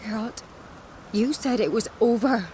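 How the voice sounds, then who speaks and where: A woman playing a grave, composed island clanswoman in a fantasy video game, speaking in a measured, solemn way. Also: a little high, mellow, slight background noise, lightly acted.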